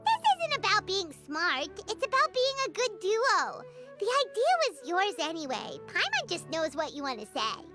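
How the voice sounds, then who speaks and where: A woman voicing a small, childlike, excitable fairy companion in a video game, bubbly in manner. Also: very high voice, normal speed. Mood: musing. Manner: refers to self in third person, bubbly. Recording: music playing behind the voice.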